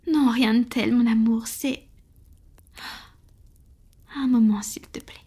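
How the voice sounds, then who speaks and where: A woman acts out a centuries-old female vampire in love, in an ASMR roleplay. Her voice is very breathy and whispered, sitting a little high. She speaks slowly and intimately, with a vulnerable, hesitant tenderness. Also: fairly even intonation, dark tone, exaggerated voice. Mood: hesitant, confessing.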